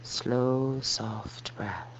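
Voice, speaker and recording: Muffled, soft-spoken woman guiding meditation. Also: a bass voice. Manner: slow, soft, calming delivery with measured phrases. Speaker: yoga teacher. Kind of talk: guided meditation instruction. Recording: dull muffled recording with noise and hum.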